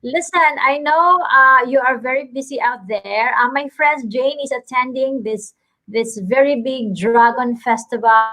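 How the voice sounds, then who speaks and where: A chatty Filipina woman with a Filipino accent hosts a casual online talk show. Her voice sits a little high. She gushes and piles on warm greetings. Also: unhurried, rough recording. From Philippines. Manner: effusive, chatty, gushing greetings.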